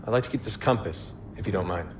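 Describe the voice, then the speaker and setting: An actor playing a calm, wise Jedi hero in a video game, speaking in a measured, earnest, gently probing way. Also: male voice, deep voice, background noise, lightly acted. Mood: serene, persuasive. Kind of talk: cinematic video game acting. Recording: prominent film-style music underneath.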